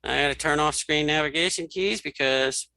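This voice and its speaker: A man giving a casual spoken software walkthrough as an instructor, thinking aloud informally as he demonstrates. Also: on the high side, brisk, over a noisy crowd, rough recording. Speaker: screen reader tutorial instructor.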